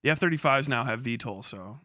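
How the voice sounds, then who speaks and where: A man's voice: mid-range voice, lively intonation, fairly quick, bright, forceful tone, no echo, studio quality. A man giving casual, off-the-cuff livestream commentary as a military veteran, slangy and full of swearing. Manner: profane, slangy.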